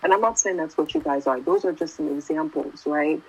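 A woman's voice: sits low, brisk, darkish tone, husky, highs cut off. A woman in her twenties giving a casual sermon, talking conversationally and often asking "right?". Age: 20s. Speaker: youth preacher.

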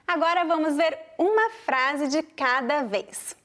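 High-voiced Brazilian woman teaching pronunciation. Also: a bit bright. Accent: Brazilian Portuguese, mixed regional. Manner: clear, deliberate explaining with spoken examples. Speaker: Portuguese teacher. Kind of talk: pronunciation lesson.

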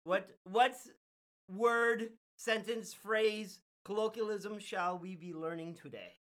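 A man's voice: high voice, takes it slowly, a bit bright. A man co-hosting a casual podcast with his wife, joking and laughing often as he leads the chat. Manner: jokey, laughs often, playful teasing. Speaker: podcast co-host.

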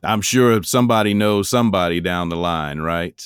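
A man from Chicago hosting an interview, asking questions in a measured, curious way. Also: low-pitched, clear, resonant tone, dry recording, studio quality. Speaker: interviewer, host. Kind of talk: conversational interview.

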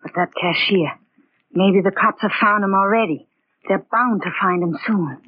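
An actress playing a frightened, insistent gangster's moll, in 1940s radio crime style. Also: female voice, mid-range voice, moderate pace, slightly rough, no room sound, lightly acted. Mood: frightened, urgent. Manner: anxious, insistent. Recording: muffled, tinny 1940s radio recording.

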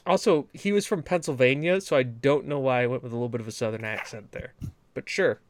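A man's voice: mid-range voice, quick pace, slight background noise. A man from New England co-hosting a gaming podcast, chatting casually in long, rambling, joking rants. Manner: rambling, rant-prone, joking. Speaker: podcast co-host. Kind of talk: casual chat.

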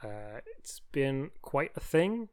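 A man's voice: medium pitch, very animated, slow, clean tone. A man co-hosting a podcast in casual chat, laid-back and thoughtful with dry humor. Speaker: podcast co-host and editor.

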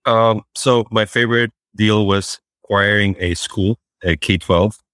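A man being interviewed as a startup founder, answering in a halting way with fillers and restarts. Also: fairly low pitch, fairly even intonation, a bit bright, clean tone, no room sound. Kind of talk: remote interview. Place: Fort Worth, Texas.

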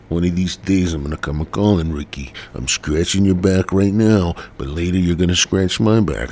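Raspy, congested man with a deep voice. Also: darkish tone, slight background noise. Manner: profane, rambling, jokey. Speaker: podcast host. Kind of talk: rambling casual monologue.

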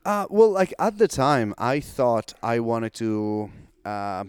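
Italian guy, young adult, easygoing talker, smooth dry voice, podcast guest. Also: mid-range voice, slow pace, no echo. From Italy. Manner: relaxed storytelling, frequent "like" fillers, laughs easily. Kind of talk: casual chat.

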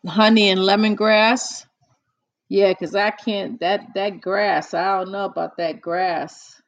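Podcast host, casual chat, unhurried, gravelly fry, close dry sound, easygoing. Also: mid-range voice, steady tone, no echo, dull audio.

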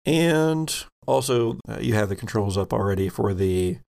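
A man narrating a tabletop sci-fi game as game master, explaining in a halting way. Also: lowish voice, expressive, gravelly, darkish tone.

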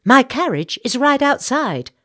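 A woman's voice: high voice, very animated, a little slow, fairly bright tone, voice pushed up, heavily acted. A woman voicing a fretful, bossy, gossipy Southern society matron in an audiobook, with an American Southern accent and an indignant, insistent manner. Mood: determined.

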